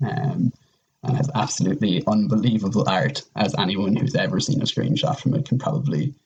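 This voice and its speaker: A man's voice: lowish voice, brisk, breathy edge, muffled recording. A man chatting casually as a podcast guest, rambling enthusiastically and piling up intensifiers as he recommends things. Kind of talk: casual chat, recommending games.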